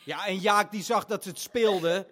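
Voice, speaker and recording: An actor playing a playful, excitable little brother who tells fairy tales in a theatrical family audio play. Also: male voice, high voice, moderate pace. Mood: playful, tender. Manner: exaggerated, playful delivery.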